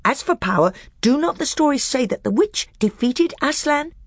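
A woman's voice: high voice, animated, normal speed, dry recording, clean studio sound, pitched above the performer's own voice. A woman doing a bitter, scornful male dwarf. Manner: cold, snapping, rising to a scream.

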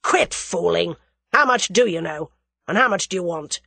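A woman's voice: on the high side, expressive, normal speed, a bit bright, dry recording, studio quality, pitched above the performer's own voice. A woman voicing an angry, suspicious businessman who snarls, growls and fires off curt demands. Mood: snarling, impatient. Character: angry, bullying, suspicious businessman, blustering villain.

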